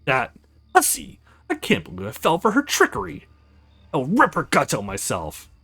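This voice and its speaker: A man's voice: on the high side, wildly swooping pitch, moderate pace, creaky, pushed and bright, husky, faint music behind, voice pushed up, full character voice. A man voicing an earnest, protective young male hero in a visual novel game. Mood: feigned outrage.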